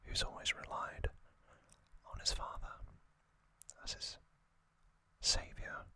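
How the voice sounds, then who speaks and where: An ASMR host whispering a story recap in hushed, deliberate short phrases.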